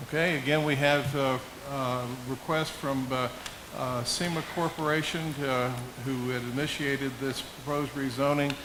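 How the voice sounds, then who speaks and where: A man's voice: medium pitch, steady tone. Man chairing a meeting over noticeable hiss. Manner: halting, deliberate. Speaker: mayor chairing a town council meeting. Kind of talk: formal meeting procedure. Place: town council meeting room. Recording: hissy public meeting room microphone.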